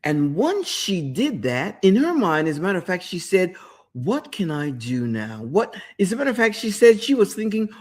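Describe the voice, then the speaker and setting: A woman's voice: fairly low pitch, big pitch swings, moderate pace, a little mellow. A woman hosting a motivational talk show, retelling a story with emphatic repetition and speaking directly to the listener. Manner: emphatic, repeats words for effect, addresses listener directly; storytelling with quoted inner thoughts. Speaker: host.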